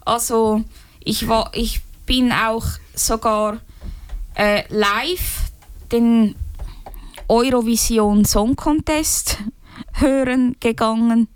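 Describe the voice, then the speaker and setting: A young woman speaking in a group, slow and halting with many fillers and restarts. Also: fairly high pitch, fairly even intonation, smooth, rough recording. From Switzerland. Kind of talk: unscripted personal talk.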